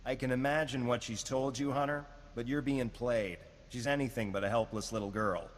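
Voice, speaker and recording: A man voicing a smug, cautious government agent in a video game, measured, sardonic and understated. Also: medium pitch, fairly even intonation, close to an everyday voice. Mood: warning. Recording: long hall reverb, background noise.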